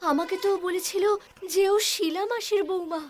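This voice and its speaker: A woman's voice: very high-pitched, fairly even intonation, moderate pace. A woman playing haunted young village women in a dramatized horror story, with an intense, melodramatic manner. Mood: shaken. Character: vengeful burnt female ghost, and the young daughter-in-law she bewitches.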